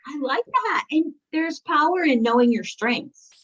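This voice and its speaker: A woman with a fairly high, lively voice hosts an interview, eager and quick with upbeat affirmations. The audio is muffled and lo-fi. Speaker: podcast host. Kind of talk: casual interview.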